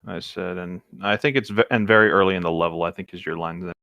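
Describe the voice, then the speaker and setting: A man chatting casually with friends in a halting, good-natured way. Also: deep voice, steady tone, gravelly, dry recording, muffled recording. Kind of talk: casual group chat.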